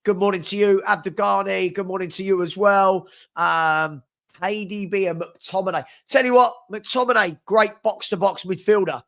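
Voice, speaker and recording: A young man hosting a live stream, his voice pitched fairly high for a man. He chats in an upbeat way, rattling off shout-outs to viewers while fighting a sniffly head cold. He is close to a desk mic. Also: steady tone, normal speed, gravelly. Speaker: host of a live fan chat show. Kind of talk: casual live-stream chat reading out viewers' comments.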